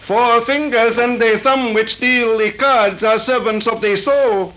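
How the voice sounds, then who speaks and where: A humble, formal sage in a 1930s radio melodrama. The voice is strikingly high and measured, on a dull old recording. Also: male voice, steady tone, slight background noise. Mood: solemn. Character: courtly, inscrutable Chinese sage, sorcerer's descendant.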